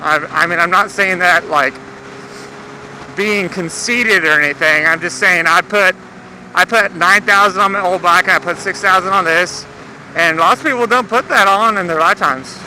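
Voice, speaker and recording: Young American man, high-pitched, over engine noise. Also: moderate pace, bright, forceful tone, poor audio. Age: young adult. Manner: laid-back, slangy, swearing. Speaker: motorcycle vlogger. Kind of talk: casual rambling vlog chat. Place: outdoors, riding a motorcycle. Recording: engine, road and traffic noise. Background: engine and vehicle noise.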